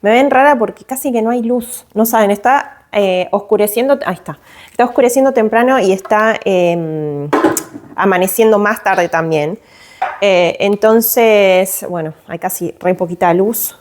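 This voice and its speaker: A woman in her thirties with an Argentine Spanish accent chats to the camera at home in a casual vlog. Her voice sits at a middle pitch for a woman. She talks loosely, with plenty of fillers and self-corrections. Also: moderate pace, darkish tone, a little hiss or hum. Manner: chatty, many fillers and restarts. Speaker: vlogger and mother. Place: at home, indoors.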